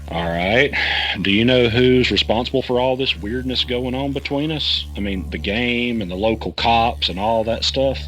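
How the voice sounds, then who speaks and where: A man's voice: mid-range voice, normal speed, fairly bright tone, faint music behind, lightly acted, low-quality recording. An actor playing an anxious man caught in a conspiracy, asking questions in an audio drama. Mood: uneasy, questioning.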